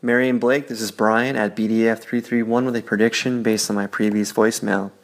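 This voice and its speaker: A man leaving a voicemail for a podcast, laying out his prediction in a measured, scripted way. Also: lowish voice, flat delivery, gravelly. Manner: measured, methodical, scripted delivery. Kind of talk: read-out voicemail.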